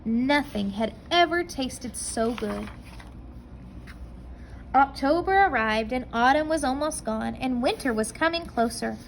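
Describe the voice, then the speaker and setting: Woman reading a storybook to kids, high voice, slow and careful, guiding listeners to the pictures. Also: lively intonation, creaky, slight background noise, low-quality recording. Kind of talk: reading a picture book aloud to children.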